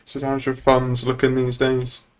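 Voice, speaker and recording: A man's voice: mid-range voice, monotone, measured pace, clean tone. A laid-back, joking man chatting casually while roleplaying in an online game. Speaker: streamer playing a police boss in an online roleplay game.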